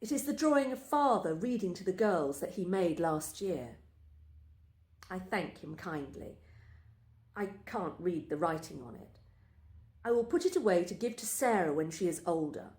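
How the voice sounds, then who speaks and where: A woman reading a first-person literary story aloud in a measured, deliberate storytelling manner. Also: lowish voice, animated, darkish tone. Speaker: story reader. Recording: roomy, echoing space.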